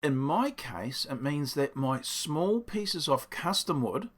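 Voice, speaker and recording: A man with a croaky voice explaining his workshop build in a casual, rambling way, correcting himself as he goes. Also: a little high, sing-song, slow. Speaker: woodworking channel host. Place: home workshop.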